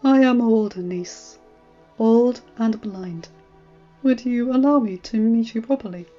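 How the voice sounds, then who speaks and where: A woman voicing a kindly, wise, very elderly male maester in a fantasy story, speaking in a courtly, measured way. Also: a little high. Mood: tender. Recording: muffled audio with music.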